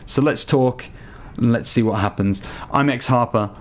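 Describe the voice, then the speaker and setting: Slightly hoarse man narrating a game tutorial. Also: medium pitch, moderate pace. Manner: chatty, addresses viewers directly. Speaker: gaming YouTuber. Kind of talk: casual game tutorial voice-over.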